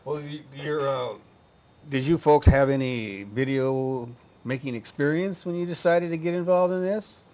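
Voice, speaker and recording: A man's voice: a little high, measured pace, darkish tone. A man interviewing guests in a casual conversation, often affirming them. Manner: conversational, affirming with frequent "mm-hmm".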